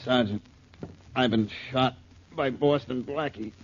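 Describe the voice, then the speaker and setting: A man's voice: medium pitch, unhurried, natural voice. An actor playing a wounded man, gasping weakly and full of self-pity in 1940s radio melodrama. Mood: dying, self-pitying. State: gasping, weak. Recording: noisy, dull 1940s radio recording.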